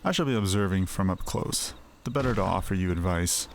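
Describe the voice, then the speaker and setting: A man's voice: low voice, fairly quick, slight background noise. An actor playing an imperious, manipulative man who sets trials, speaking in formal, archaic phrasing in a video game. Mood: commanding. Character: imperious male quest-giver, testing and manipulative.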